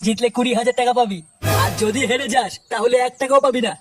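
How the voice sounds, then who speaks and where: A man's voice: very high-pitched, fast talker, over music. A man voicing a brusque, money-minded betting boss in a comedy cartoon, with a West Bengal Bengali accent and a processed voice. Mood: curt, demanding. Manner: blunt, demanding. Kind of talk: comic cartoon voice acting.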